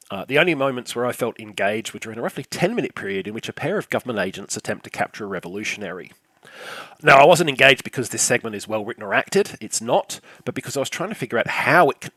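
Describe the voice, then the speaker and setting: An older man with a slightly croaky, lowish voice delivers a scripted film review. His wit is dry and sarcastic, his sentences are peppered with "uh", and the recording is close and completely dry. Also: fairly bright tone, dry recording.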